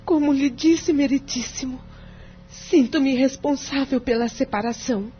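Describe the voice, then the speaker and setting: A woman's voice: high voice, measured pace. A woman playing a remorseful, anguished wife in an audio drama, confessing formally and emotionally. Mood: wounded, accusing. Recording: dull lo-fi audio with music behind.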